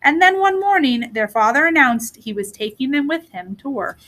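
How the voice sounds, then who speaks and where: A woman reading a children's story aloud in an expressive storytelling style. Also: high-pitched, normal speed, low-quality recording. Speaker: storybook reader.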